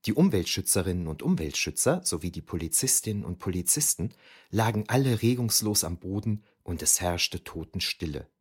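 Low, husky male actor as haunted eyewitness storyteller. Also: sing-song, fairly quick, heavily acted. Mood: grave, tense. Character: shaken eyewitness recounting an eerie forest battle. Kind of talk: dramatic first-person audio drama narration.

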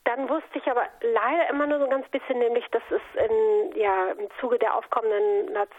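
A woman's voice: on the high side, steady tone, brisk. A woman from a North Sea island in Germany, an author answering interview questions in a conversational, reflective storytelling way. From North Sea island of Juist, Germany. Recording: narrow phone-like line.

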